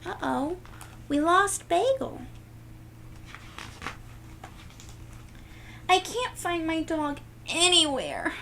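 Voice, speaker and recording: A woman's voice: extremely high pitch, expressive, slow pace, creaky, bright, forceful tone, slight background noise, voice pushed up, full character voice. A woman voicing an emotional, exclaiming little girl in a children's storybook. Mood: upset. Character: Nellie, emotional little girl searching for her dog.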